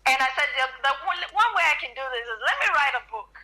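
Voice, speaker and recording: Bright high Nigerian woman on a phone line. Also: big pitch swings, fast. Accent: Nigerian, softened by American. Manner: earnest, repeats words as she starts. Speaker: guest. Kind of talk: radio interview by phone.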